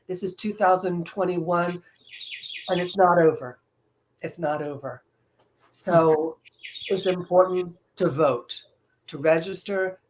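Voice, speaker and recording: A woman's voice: on the low side, a little hiss or hum. A woman giving an earnest interview answer as a guest director, using short, emphatic, repeated phrases.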